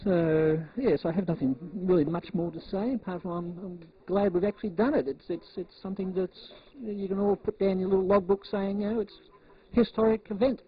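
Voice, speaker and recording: A man chatting casually and unscripted on air, halting and repeating words as he goes, heard on muffled transmission audio. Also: high voice, fairly even intonation. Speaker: amateur television operator.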